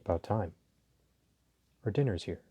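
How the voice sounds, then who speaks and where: A man playing a suave, dominant, flirtatious mafia boss in an intimate audio roleplay, courteous and teasing, with a low husky voice. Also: expressive, dull audio, full character voice. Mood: courteous, relaxed. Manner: slow, teasing, courteous, softly chuckling.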